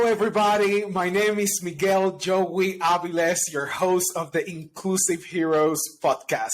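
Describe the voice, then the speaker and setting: Upbeat high-voiced man hosting. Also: a little hiss or hum. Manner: upbeat, effusive. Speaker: podcast host. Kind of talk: podcast interview.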